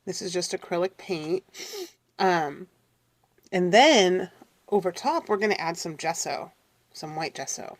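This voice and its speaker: A middle-aged woman with a raspy, congested voice from a cold, chatting casually to viewers as she works through a craft tutorial and keeps apologizing. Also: fairly low pitch, expressive, measured pace, long pauses, no echo. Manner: chatty, apologetic, talks to viewers while working. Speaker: craft channel host.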